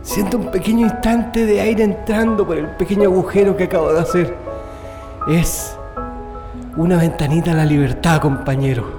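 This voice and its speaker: High-voiced tunnel digger, long reverb. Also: male voice, normal speed, over music. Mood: awed, straining. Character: eager, wry male prisoner digging an escape tunnel. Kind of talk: radio drama acting.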